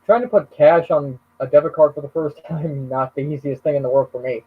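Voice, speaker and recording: Husky-voiced man over a tinny line. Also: a little high, a little fast. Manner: hesitant, trails off mid-sentence. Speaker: live stream host. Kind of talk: casual chat. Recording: muffled phone-like call audio.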